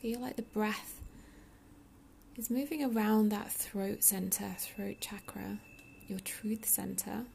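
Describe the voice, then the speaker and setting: A woman leading a guided meditation in a soothing, unhurried, breathy soft delivery with pauses. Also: mid-range voice, steady tone, a little hiss or hum. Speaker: meditation guide, yoga teacher.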